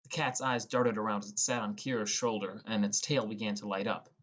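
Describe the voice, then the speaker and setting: A man's voice: fairly low pitch, steady tone, brisk, a bit bright. A young man reading scripted first-person narration as an audiobook narrator.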